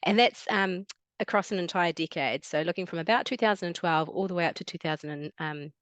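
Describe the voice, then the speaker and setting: A woman with a New Zealand accent gives an online talk as a wildlife biologist, friendly and chatty with plenty of ums and restarts. Her voice sits a little low for a woman. The sound is dry and close, with no room echo. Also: fairly quick. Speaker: guest speaker, wildlife biologist.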